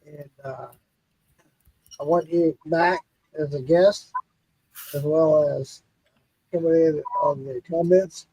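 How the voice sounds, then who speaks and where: A tipsy man hosting a live podcast, talking in a husky, dark, mellow voice with a slightly high pitch. He is friendly and earnest, and he says his thanks more than once. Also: fairly even intonation, takes it slowly, long pauses, dry recording, lo-fi sound. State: tipsy from drinking. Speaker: podcast host. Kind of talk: casual live podcast chat.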